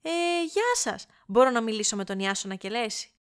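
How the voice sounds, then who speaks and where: A woman's voice: on the high side, animated, clear, resonant tone, close to an everyday voice. A woman playing a polite, flustered young woman making an enquiry in a comic audio drama, apologetic but insistent. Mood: polite, puzzled.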